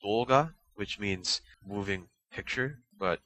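A man's voice: on the low side, slow pace, pushed and bright, rough recording. A man co-hosting a casual fan discussion, explaining details with frequent qualifications. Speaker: podcast co-host. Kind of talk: casual discussion of anime fandom.

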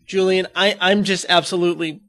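Higher-pitched, creaky-voiced young man. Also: fairly even intonation, fairly quick, loud music behind the voice. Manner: joking, playful. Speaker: podcast host. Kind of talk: casual chat.

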